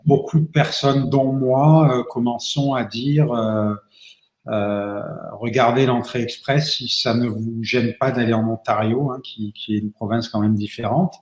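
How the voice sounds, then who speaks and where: A man's voice: medium pitch, steady tone, a little slow. A man, an immigration lawyer, answers audience questions at length, with many fillers and restarts. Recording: roomy echo.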